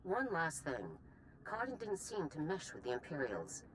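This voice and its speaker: A woman playing a stern, authoritative general who speaks in a measured, commanding way in a game cutscene. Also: low-pitched, wildly swooping pitch, a bit bright, slight background noise, heavily acted, low-quality recording. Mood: briefing. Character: stern, authoritative female general briefing a soldier. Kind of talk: video game cutscene acting.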